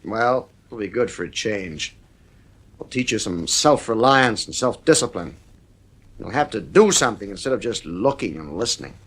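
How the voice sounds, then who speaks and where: A man's voice: fairly low pitch, animated, normal speed, fairly bright tone. An actor playing a stern, worried father in an audio drama, speaking in a firm, authoritative way. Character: stern, worried father of two in a futuristic home.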